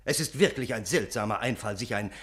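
An actor playing a respectable, courteous gentleman who narrates a German radio play as a family friend in measured speech. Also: lowish voice, animated, slightly rough. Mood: mildly disapproving.

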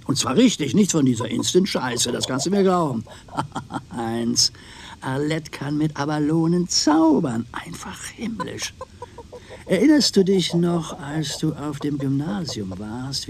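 An actor playing a roguish, chatty older uncle in a radio crime drama, teasing, with florid relish. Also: male voice, on the high side, gravelly. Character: roguish, chatty old uncle, womanising bon vivant.

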